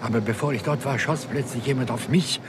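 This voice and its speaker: An actor playing an obsessed, brooding scientist in a 1960s German radio crime drama, speaking in a husky, fervent, halting, theatrical way. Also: male voice, medium pitch, noisy background, close to an everyday voice. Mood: shaken. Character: obsessed male scientist fascinated by raising the dead.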